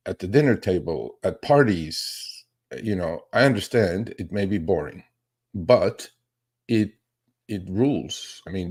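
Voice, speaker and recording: This is a man with a deep, low-set voice, speaking as the host of a casual live stream. He talks without a script in a rambling but emphatic way, like a trader teaching his audience. He regularly tags his points with little check-ins to make sure people follow. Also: darkish tone. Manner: rambling, emphatic, often checks with "okay?" and "right?". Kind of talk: casual unscripted livestream talk.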